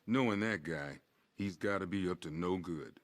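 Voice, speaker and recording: A man's voice: sits low, normal speed, close to an everyday voice. A man playing a plain-spoken police officer giving a briefing, informal and matter-of-fact, in an amateur video game fan dub.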